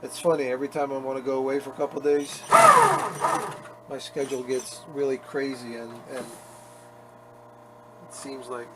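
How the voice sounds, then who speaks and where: Leatherworker chatting while working, wry and self-mocking, ordinary mid voice, clear shop noise behind. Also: male voice, mid-range voice, little pitch movement, normal speed, long pauses. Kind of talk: casual workshop narration. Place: workshop.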